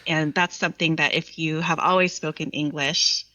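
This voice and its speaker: A woman's voice: deep voice, steady tone, normal speed, sharp tone, no room sound, lo-fi sound. A woman guest on a poetry podcast talking in a thoughtful, articulate, explanatory way about literature. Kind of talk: reflective literary conversation with some poem reading.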